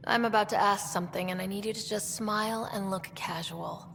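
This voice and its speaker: A woman voice actor playing a determined, brisk, wry young space explorer in a video game. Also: medium pitch, steady tone, gravelly, a bit bright, in a large hall, a little hiss or hum. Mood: guarded, businesslike.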